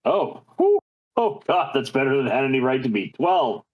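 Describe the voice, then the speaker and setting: A man's voice: medium pitch, very animated, moderate pace, narrow, tinny audio. A man chatting casually and role-playing as a player in a tabletop game.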